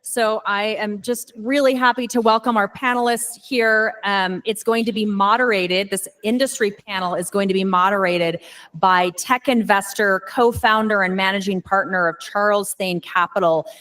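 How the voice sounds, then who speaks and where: A woman's voice: mid-range voice, fairly even intonation, moderate pace, a bit bright. American woman, event emcee, upbeat and enthusiastic introductions. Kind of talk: introducing a panel. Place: conference hall.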